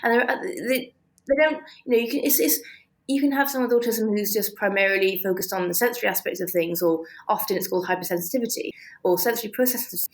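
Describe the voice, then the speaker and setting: Halting, reflective woman with mid-pitched voice. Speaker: interview guest, author. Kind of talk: conversational interview answers.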